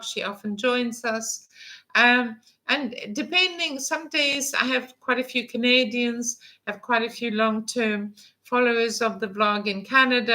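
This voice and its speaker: Woman, 50s, live-stream vlog host. Chatty and rambling, slightly high, bright and forceful tone. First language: English. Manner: chatty and rambling, with many fillers and restarts. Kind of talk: casual live-stream chat.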